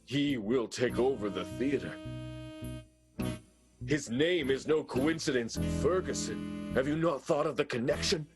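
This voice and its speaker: A man's voice: medium pitch, unhurried, long pauses, lightly acted. A man as a portentous schemer over guitar music. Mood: scheming, grave. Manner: dramatic, portentous. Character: scheming, melodramatic vaudeville conspirator, grave and ruthless. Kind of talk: improvised comedic radio soap acting. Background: plucked guitar music.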